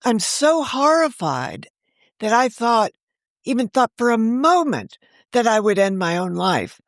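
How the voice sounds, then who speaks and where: A woman's voice: fairly high pitch, big pitch swings, unhurried, dry recording. Earnest woman in her sixties, dry sound. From United States. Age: 60s. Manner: earnest, rambling, restarts often. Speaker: guest, novelist. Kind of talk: casual interview conversation.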